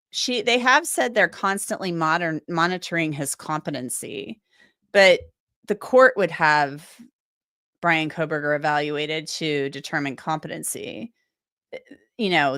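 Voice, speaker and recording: A woman's voice: sits low, bright, forceful tone, dry recording, clean studio sound. Woman with a cold-strained voice, chatting. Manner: chatty, loose and thinking aloud, with many restarts and asides. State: recovering from a cold, voice a bit strained. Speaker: legal commentator and livestream host. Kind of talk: casual livestream chat reading viewer comments.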